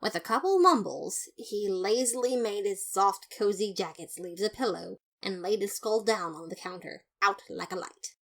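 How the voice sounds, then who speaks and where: A woman's voice: mid-range voice, animated, moderate pace, few pauses. A woman voicing an earnest, boastful male skeleton detective who narrates his case in a fan audio drama, theatrical and emphatic. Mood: earnest, dramatic. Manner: theatrical, self-satisfied, emphatic.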